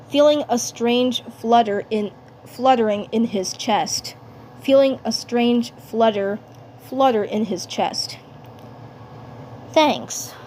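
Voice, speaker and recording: Casting: female amateur storyteller, higher voice, very slow halting read with repeated phrases, slightly noisy home recording. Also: darkish tone. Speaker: fan fiction narrator and voice performer.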